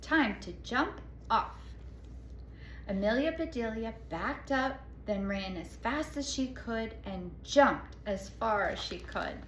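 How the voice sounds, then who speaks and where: A woman reading a children's picture book aloud expressively, pausing to explain the pictures. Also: on the high side, expressive. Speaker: storybook reader. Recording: echoey room, faint background noise.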